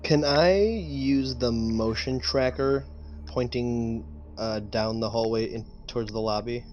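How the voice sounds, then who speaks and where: A man with a medium-pitched voice plays a tabletop roleplaying game. He speaks casually and a little tentatively, feeling for the right words, over faint background noise. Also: slow pace, a little mellow.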